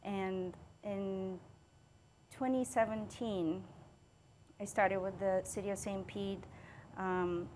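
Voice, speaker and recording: A middle-aged woman from Bulgaria, a city engineering manager recounting her career in a podcast interview, upbeat with many "um" pauses. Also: medium pitch, steady tone, darkish tone, slight background noise. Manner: upbeat, with frequent "um" fillers.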